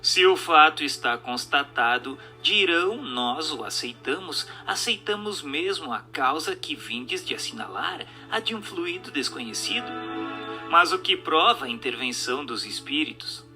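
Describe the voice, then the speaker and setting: A man's voice: a little high, animated, a little slow, pushed and bright. A man reads a formal text as dramatic narration, in an emphatic, rhetorical, oratorical manner. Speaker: narrator reading a book aloud. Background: music.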